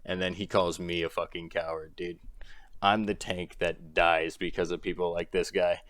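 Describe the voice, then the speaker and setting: Young guy ranting on his channel, really low voice a bit thrashed, dry close sound, keeps laughing it off. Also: flat delivery, moderate pace, fairly bright tone, no echo. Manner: exasperated, rambling, laughs often between points. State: strained throat. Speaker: commentary creator. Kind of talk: ranting commentary.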